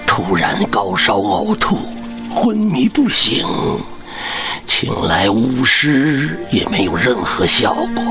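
Elderly village chief, doting grandfather, slow earnest storytelling, hugely animated, radio drama, music underneath. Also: fairly low pitch, exaggerated voice. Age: older.

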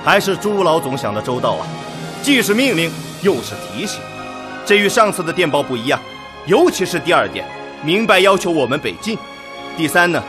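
A man playing an authoritative, earnest Red Army commander in a radio drama, speaking deliberately and emphatically. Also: medium pitch, natural voice. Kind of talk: Chinese radio drama acting.

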